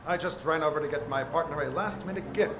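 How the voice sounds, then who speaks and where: A man's voice: a little high, fast, close to an everyday voice. A man playing an affable, bumbling police detective in a 1940s-style radio drama, flustered and jovial. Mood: sheepish. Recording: echoey theater with audience noise.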